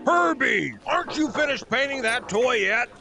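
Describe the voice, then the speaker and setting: A man's voice: very high-pitched, animated, normal speed, gravelly, over music, exaggerated voice. An actor playing a bossy, hot-tempered male foreman elf who scolds in a comic Christmas radio play. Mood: impatient, scolding. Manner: scolding, exasperated, shouting.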